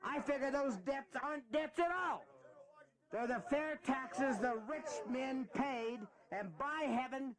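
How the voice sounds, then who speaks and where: An actor playing a fiery rebel leader in a historical audio drama, rallying poor farmers with rousing rhetorical questions. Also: male voice, very high-pitched, slow. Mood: defiant, rousing. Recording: loud crowd around the voice.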